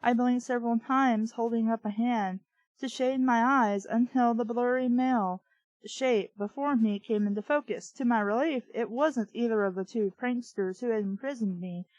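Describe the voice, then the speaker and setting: A woman's voice: fairly high pitch, moderate pace, a little mellow, no room sound, dull audio. A woman reading fiction aloud and breaking in with sarcastic, irreverent, exasperated commentary. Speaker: book review host.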